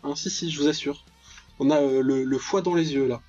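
A man's voice: on the high side, fairly even intonation, fairly quick, warm tone, lo-fi sound, faint music behind. A man giving casual, joking commentary on a visual novel, ironically paraphrasing and reacting aloud as he plays. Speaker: let's player, channel host.

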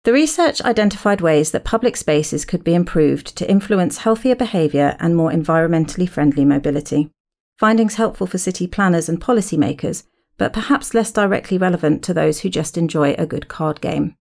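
A woman's voice: lowish voice, lively intonation, a little fast, vocal fry, darkish tone. A woman hosting a podcast, reading scripted narration. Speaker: podcast host.

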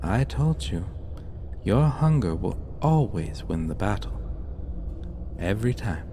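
Slow, low-voiced sadistic vampire played by a man. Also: heavily acted. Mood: coaxing, smug. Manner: theatrical, drawn-out, menacing endearments. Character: sadistic, possessive male vampire, suave and taunting. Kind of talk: ASMR roleplay, gothic dark fantasy. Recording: steady noisy ambience under the voice.

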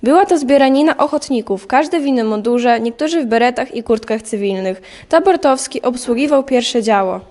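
A woman's voice: fairly high pitch, brisk, hardly any pauses, darkish tone. A young woman reading scripted historical narration for an audio drama.

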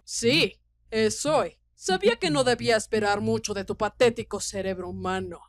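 A woman's voice: fairly high pitch, moderate pace, no room sound, close to an everyday voice. A woman playing a scornful, imperious demon queen. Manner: contemptuous, commanding. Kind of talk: animated comedy fan dub.

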